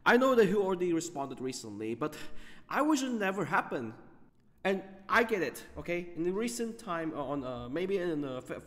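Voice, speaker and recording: A man hosting a gaming video, giving off-the-cuff commentary in a halting way, often stumbling over his words. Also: high-pitched, sing-song, fairly bright tone, big-room sound.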